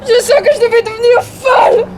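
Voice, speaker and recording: An actress playing a panicky, defiant young student, spoiled and pleading, in a 1960s French radio crime drama. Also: female voice, steady tone, moderate pace. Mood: hysterical pleading. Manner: shrill, pleading, indignant. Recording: noisy old radio drama recording.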